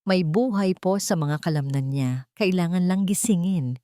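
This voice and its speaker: A woman voicing a gentle, steadfast maid in an audio drama, calm and polite but quietly firm. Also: lowish voice, normal speed, no room sound, studio quality, natural voice. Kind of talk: audio drama character dialogue.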